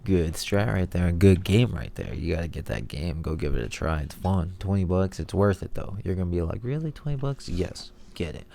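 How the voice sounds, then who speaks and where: A man's voice: sits low, animated, normal speed, gravelly, few pauses, dark tone. A young man with a stuffed-up, nasal voice, rambling in a laid-back, slangy way as he comments over his own video. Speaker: video host. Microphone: headphones monitoring, voice-over mic.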